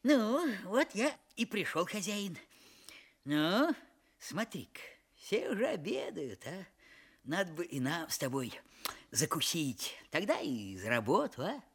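An actor playing a lazy, cunning young farmhand in a fairy-tale audio play, drawling and playing innocent in a husky voice. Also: male voice, sits high, sing-song, full character voice. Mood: contented, drowsy. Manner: drawling, unhurried, chuckling.

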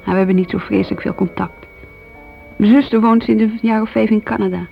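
Muffled radio drama, a homely woman in her thirties, gravelly voice, shy, confiding and self-excusing. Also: mid-range voice, fairly even intonation, a little fast, faint music behind. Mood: chatty, self-excusing. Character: homely young mother, shy, wistful younger sister. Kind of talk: radio play monologue, casual confiding. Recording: muffled old radio recording.

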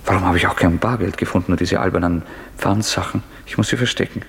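An actor playing a tormented, secretive murderer in a German radio drama, restless and suspicious, halting and breaking into agitated outbursts. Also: male voice, low voice, creaky. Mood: agitated, feverish.

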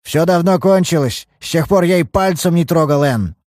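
A shrill, quavering old man, as a male narrator puts him on, self-pitying and quick to anger. The voice sits well above the reader's own and swings widely in pitch. It sounds close and dry, with no room around it. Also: animated, darkish tone, no room sound, lightly acted. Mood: whining.

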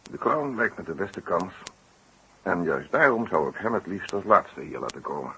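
Low, bright-voiced police inspector. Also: male voice, big pitch swings, moderate pace, heavily acted, rough recording. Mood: collegial, businesslike. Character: no-nonsense Dutch police inspector leading interrogations. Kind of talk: 1960s radio drama acting. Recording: old radio recording with hiss.

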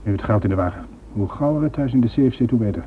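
An actor playing a cold, businesslike criminal conspirator in a radio drama, curt and calculating. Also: male voice, mid-range voice, lively intonation, fast, phone-quality sound, close to an everyday voice. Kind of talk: Dutch radio drama acting. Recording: thin, noisy old radio recording.

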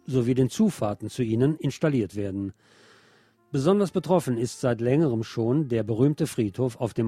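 Mellow-voiced man reading radio news. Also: medium pitch, no echo. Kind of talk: scripted radio news reading with wry commentary.

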